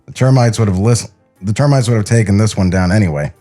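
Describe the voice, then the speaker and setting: A man's voice: fairly low pitch, fast talker, warm tone, faint music behind, natural voice. A man playing a sentimental cartoon animator reading his letter home as a film script voiceover. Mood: wistful, sincere.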